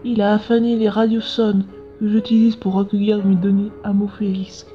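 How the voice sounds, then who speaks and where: A woman's voice: medium pitch, little pitch movement, moderate pace, close to an everyday voice. A woman voicing an earnest, curious scientist in a comic dub, explaining things in a reflective way. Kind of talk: dubbed comic narration and dialogue. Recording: dull lo-fi sound with music behind.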